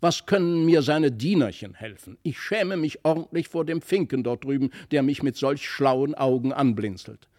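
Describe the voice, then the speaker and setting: Man voicing an excitable, boastful little boy. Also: mid-range voice, moderate pace, few pauses, lightly acted. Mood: scornful, indignant. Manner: excitable, emphatic, exclamatory. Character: impulsive, boastful young boy, quick to scorn his toys. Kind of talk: storybook character voice.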